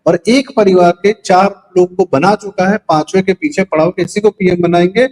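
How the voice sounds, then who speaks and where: A man's voice: high voice, fairly even intonation, moderate pace, a little mellow, low-quality recording. A man giving opinionated political commentary in a conversational, explanatory way, emphatic and mixing in English words. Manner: emphatic, poses rhetorical questions and answers them; mixes English words into speech.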